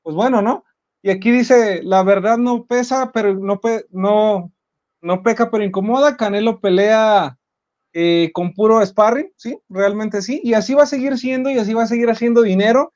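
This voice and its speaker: A man's voice: high-pitched, fairly even intonation, normal speed, darkish tone, lo-fi sound. A man with a Mexican accent hosting a sports debate show, arguing his opinions emphatically. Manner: emphatic, argumentative.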